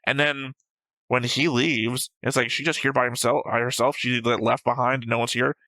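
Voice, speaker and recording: A man's voice: medium pitch, level tone, normal speed, sharp tone, no echo, rough recording. A man co-hosting a reaction show, chatting casually in a blunt, argumentative, sweary way. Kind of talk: casual discussion of an anime episode.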